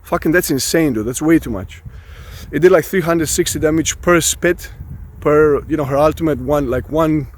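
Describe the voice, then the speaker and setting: Hoarse, rambling man outdoors, no mic. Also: a little high, moderate pace. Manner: rambling, jokey, swears casually. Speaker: gaming vlogger. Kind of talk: casual patch commentary. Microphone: without his usual microphone.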